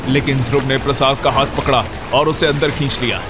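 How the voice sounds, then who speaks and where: A man's voice: medium pitch, steady tone, brisk, heavy background noise. A man narrating a scripted horror story as its storyteller.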